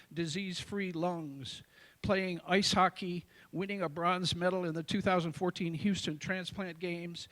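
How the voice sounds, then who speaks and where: An American man in his sixties with a tenor voice, reciting his own poetry in deliberate, emphatic phrases with dramatic pauses. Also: sits high, a bit bright, poor audio. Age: 60s. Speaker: amateur poet.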